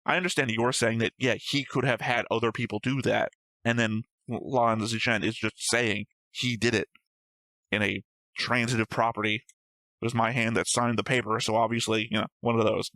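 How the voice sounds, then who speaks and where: A man's voice: mid-range voice, level tone, normal speed, bright, forceful tone, no echo, low-quality recording. A man co-hosting a reaction show, reasoning aloud in a casual, argumentative discussion of story theories. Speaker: co-host of an anime reaction show.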